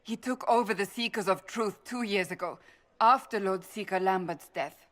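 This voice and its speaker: A woman's voice: medium pitch, normal speed, a bit bright, lightly acted. An actress playing a resolute, earnest woman warrior in a fantasy game, speaking formally and seriously. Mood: concerned. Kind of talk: fantasy game cutscene dialogue.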